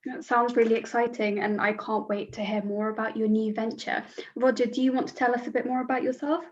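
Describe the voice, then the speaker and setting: A woman's voice: fairly high pitch, flat delivery, fairly quick, slight background noise. A young woman in her twenties, not a native English speaker, hosting a podcast with polished introductions. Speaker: host. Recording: dull lo-fi audio.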